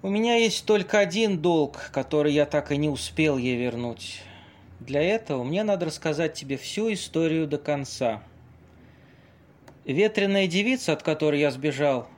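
A man acts out a worldly, theatrical Venetian seducer for an audio play. His voice sits a little high for a man, and he delivers lines dramatically, with weighty pauses, like a seasoned storyteller. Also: natural voice. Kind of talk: amateur audio play acting.

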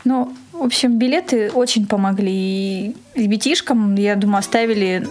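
A woman in a casual interview, recounting an event as its organizer in an animated storytelling way. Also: mid-range voice, normal speed, soft background music. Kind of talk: casual spoken interview recollection.

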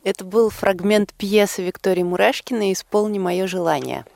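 A woman in a conversational studio interview, a producer pausing often to pick her words. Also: mid-range voice, gravelly, a little mellow, no echo. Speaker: theater producer, interview guest. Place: radio studio.

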